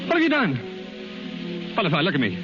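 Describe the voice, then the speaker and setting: An actor playing an anguished husband in a 1930s radio melodrama, impassioned and pleading. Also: male voice, a little high, huge pitch swings, normal speed. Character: anguished husband returning to his dying love. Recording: muffled 1930s radio recording, music behind.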